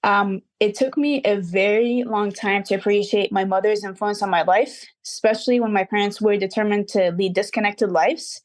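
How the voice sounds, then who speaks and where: 20 yr old woman, earnest and kinda formal, mid pitch. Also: fairly even intonation, moderate pace, few pauses. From Dubai. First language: Arabic. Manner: earnest, reflective, slightly formal. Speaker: guest, recording artist. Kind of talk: interview answers.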